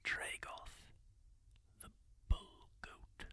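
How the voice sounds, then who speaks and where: A man voicing a kindly masked healer-warrior, telling tales in a slow, breathy ASMR whisper close to the mic. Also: bright, forceful tone, heavily acted. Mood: hushed. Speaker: ASMR roleplayer. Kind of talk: whispered ASMR roleplay monologue.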